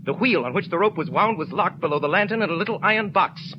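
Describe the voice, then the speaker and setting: A man narrates a 1930s radio drama in a somewhat high voice, with suspenseful, deliberate phrasing. The recording is dull and noisy. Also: lively intonation.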